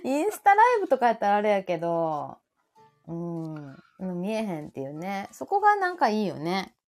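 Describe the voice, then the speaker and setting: A woman with a Kansai Japanese accent chatting casually as a co-host, playful and teasing, quick to react. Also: medium pitch, wildly swooping pitch, faint music behind. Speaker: co-host of a live audio chat show. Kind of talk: casual chat.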